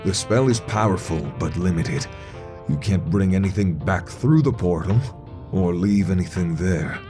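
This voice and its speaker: An actor voicing a smug elf wizard train attendant with a soft, sensuous voice, in a comic dub. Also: male voice, sits low, normal speed, over music, close to an everyday voice. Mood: sly. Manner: sly, suggestive.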